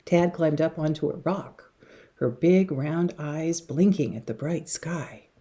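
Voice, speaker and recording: A woman reads a children's story aloud in a low, mellow voice with a husky edge. She takes her time and swings widely in pitch, dropping to a hush for suspense and leaning hard on key words. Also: slow. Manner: dramatic, with hushed passages and emphatic words. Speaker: teacher reading a picture book to young children.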